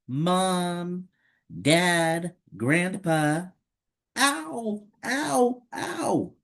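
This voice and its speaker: Storybook little girl with a bellyache, played by a man: high-pitched, whiny, plaintive. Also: expressive, very slow, a bit bright, exaggerated voice. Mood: pained, whining. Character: little girl with a bad stomach ache, whining.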